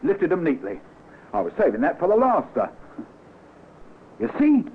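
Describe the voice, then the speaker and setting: An actor playing a deferential police sergeant reporting keenly in an old radio drama, on a tinny, noisy recording. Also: male voice, high-pitched, huge pitch swings, heavily acted. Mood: eager, dutiful. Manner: brisk, respectful reporting. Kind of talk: old-time radio acting.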